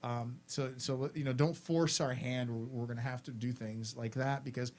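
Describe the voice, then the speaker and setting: A man's voice: medium pitch, steady tone, moderate pace, a little mellow. A man hosting a school's afternoon announcements, friendly and instructive, repeating his points to students.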